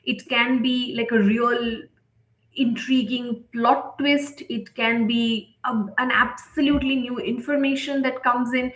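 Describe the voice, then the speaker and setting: A woman presenting an explanatory online lecture in a measured, instructive way, often checking in with the listener. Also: fairly high pitch, a bit bright. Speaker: presenter, story editor. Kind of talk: explanatory webinar lecture with slides. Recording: echoey room sound.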